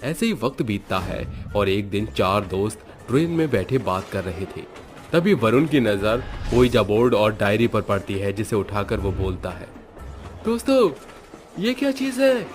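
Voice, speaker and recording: A man's voice: lowish voice, normal speed. A man narrating a scripted horror story as its storyteller. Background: faint background music.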